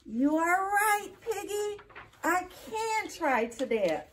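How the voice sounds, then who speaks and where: Woman acting a slow, high-voiced earnest elephant. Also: sing-song, slight background noise, exaggerated voice. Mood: hesitant, earnest. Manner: drawn-out, theatrical storybook delivery. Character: hesitant, earnest, easily frustrated elephant. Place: indoor library meeting room. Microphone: camera several feet away.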